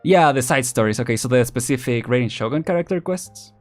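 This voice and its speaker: A man's voice: mid-range voice, expressive, a little fast. Hesitant, rambling male streamer over music. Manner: hesitant, rambling, often repeats words and restarts. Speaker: streamer, vtuber host. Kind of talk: casual livestream commentary, chatting with viewers.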